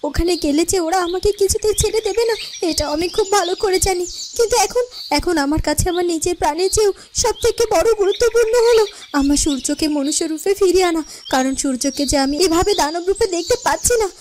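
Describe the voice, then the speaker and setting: Determined young fairy-tale heroine, played by a woman: extremely high voice, earnest, emphatic pleading, cartoon drama, faint background noise. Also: fast. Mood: pleading, resolute. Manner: pleading, emphatic, repeats phrases. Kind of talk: dramatic Bengali cartoon voice acting.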